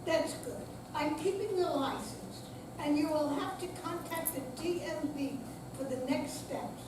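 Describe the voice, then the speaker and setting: A high voice carries through a noisy, echoing hall: a woman reading a matter-of-fact official. She delivers the part as a plain readers theater performance. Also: unhurried. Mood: businesslike. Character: matter-of-fact official handling a seized license. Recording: echoey noisy hall, distant mic.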